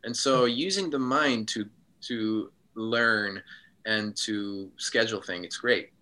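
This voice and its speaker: A man from Switzerland, a guest in a casual interview, speaking reflectively with frequent pauses and ums. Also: lowish voice, pushed and bright.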